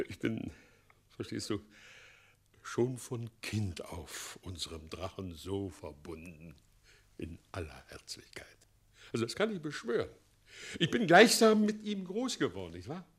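A half-mad, evasive town mayor in a radio drama. His delivery is slow and highly animated, lurching from confidential hush to gushing, theatrical outbursts of loyalty. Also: slightly rough, exaggerated voice. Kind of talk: German radio play acting.

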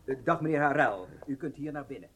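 A man's voice: mid-range voice, animated, fast talker, a bit bright, husky. A male actor playing a cautious, matter-of-fact intelligence aide in a radio drama. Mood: concerned.

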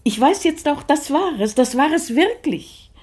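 An actress playing a dreamy, pensive lady in a radio play, musing in a hushed, deliberate way. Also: high-pitched, lively intonation. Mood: quietly elated, pensive. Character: dreamy, pensive lady confiding in her maid. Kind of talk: German radio play acting.

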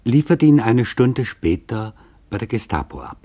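A man narrating a scripted literary radio documentary in a solemn, deliberate, formal manner, with a husky voice. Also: mid-range voice, very animated, warm tone.

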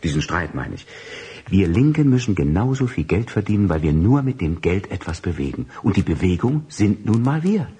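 A pushy, wheedling male agent in a radio drama. His voice is deep and very husky, and he cajoles in slangy sales patter. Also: very animated, normal speed, warm tone, exaggerated voice. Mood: pleading, persuasive.

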